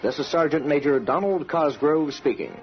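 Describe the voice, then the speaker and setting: Formal American army radio announcer, muffled. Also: male voice, fairly high pitch, animated, moderate pace. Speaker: announcer, army sergeant major. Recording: dull old radio recording, music behind.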